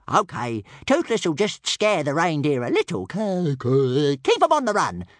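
A man voicing an excitable, indignant little male dragon who stammers and talks about himself by name, in an audiobook story. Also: a little high, moderate pace, no room sound, clean studio sound, voice pushed up. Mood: mischievous.

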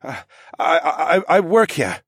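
A man's voice: mid-range voice, lively intonation, moderate pace, no room sound, studio quality, pitched above the performer's own voice, natural voice. A man voicing a nervous, exhausted French engineer in his thirties, hesitant and stammering, in an audiobook story.

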